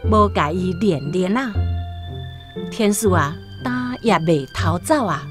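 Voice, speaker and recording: A woman with a Taiwanese accent narrating a radio drama as an expressive scripted story. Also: lowish voice, slow, music in the background.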